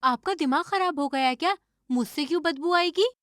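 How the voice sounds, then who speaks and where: A woman playing an evasive, stubborn young daughter-in-law who acts innocent in an animated moral story. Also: high voice, brisk, dry recording, clean studio sound. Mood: defiant, annoyed. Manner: defensive, feigning innocence.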